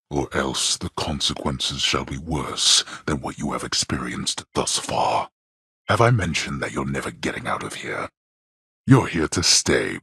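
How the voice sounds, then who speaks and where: A man playing a stern, scornful older god of the dead in a video game, speaking in haughty, formal, archaic phrases with a gravelly bass. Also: pushed and bright, dry recording, clean studio sound, full character voice. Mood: grim, dismissive. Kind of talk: dramatic video game voice acting.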